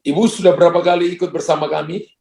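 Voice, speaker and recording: A man's voice: a little high, little pitch movement, fast, gravelly, darkish tone, roomy sound, a little hiss or hum. A man, a pastor, praying prophetic words over callers and chatting with them in a joking, pastoral way. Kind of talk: prophetic prayer and ministry to callers.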